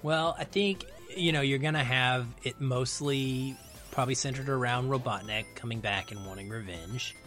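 A man's voice: medium pitch, normal speed, a bit bright, soft background music. A man speaking as a podcast guest in casual film discussion, thinking aloud as he goes.

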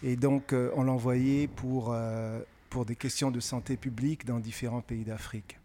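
A middle-aged man who grew up in francophone Africa, answering interview questions in a measured, thoughtful way with frequent pauses. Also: mid-range voice, little pitch movement, dark tone. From francophone West and Central Africa. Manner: measured, thoughtful, pausing often with hesitations. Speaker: guest author.

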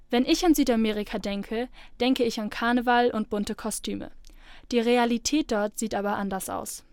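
Female radio host, higher-pitched, smooth and clear, scripted links, close dry studio sound. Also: no room sound.

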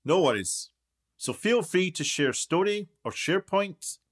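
A man playing a self-important, impatient documentary maker in a radio play, brisk and officious. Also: high-pitched, expressive. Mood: businesslike. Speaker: documentary interviewer.

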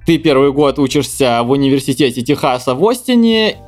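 A man's voice: mid-range voice, very animated, brisk, soft background music. Upbeat male podcast host. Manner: upbeat, playful reactions. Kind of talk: casual podcast interview.

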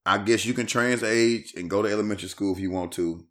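A man's voice: on the low side, fast talker. A man co-hosting a podcast, bantering casually in slang with an incredulous, reactive manner. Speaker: podcast co-host.

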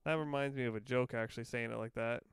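A Canadian man co-hosting a podcast, talking in a rambling, profane, animated way. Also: mid-range voice, fast talker, smooth, no echo, studio quality. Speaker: podcast co-host. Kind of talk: casual chat.

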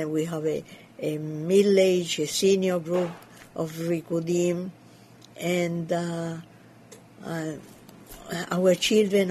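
Older woman with a Cuban Spanish accent, Yiddish as her first language; halting, dark-toned interview answers. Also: lowish voice, slight background noise. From Cuba. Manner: hesitant, searching for English words. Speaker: community leader. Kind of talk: interview conversation.

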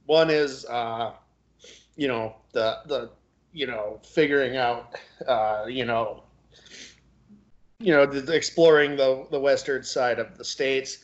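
A man co-hosting a podcast in casual discussion, speaking haltingly as he searches for his words. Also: medium pitch, highs cut off. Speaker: podcast co-host.

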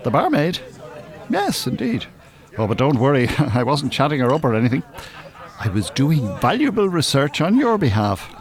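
A well-spoken older gentleman from a radio play. His pitch moves in a lively way as he talks, with formal, wordy phrasing and a dry, teasing manner. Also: moderate pace. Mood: wry. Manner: formal, wordy, drily mocking. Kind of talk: radio drama dialogue.

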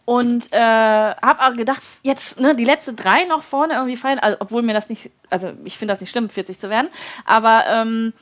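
Woman late 30s, chatty sewing stream host, slightly high voice, laughs a lot. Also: normal speed, hardly any pauses. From Germany. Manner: chatty, laughs often, many fillers. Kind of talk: live-stream sewing chat.

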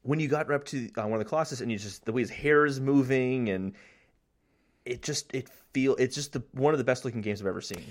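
Rambling young man talking games. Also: medium pitch. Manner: rambling, halting, self-deprecating. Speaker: podcast co-host. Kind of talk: casual chat about video games.

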